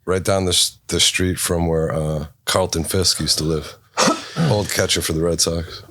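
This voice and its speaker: A man's voice: low voice, unhurried, fairly bright tone, no room sound, clean studio sound. A middle-aged man from New England, a podcast guest in casual chat, reminiscing in a laid-back, rambling way with many fillers. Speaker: podcast guest, apparel designer.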